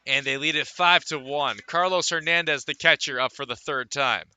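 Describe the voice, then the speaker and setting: Man, baseball radio commentary, higher-pitched voice, very bright and punchy, measured phrasing. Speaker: baseball play-by-play announcer. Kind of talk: live radio sports commentary. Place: live at a ballpark.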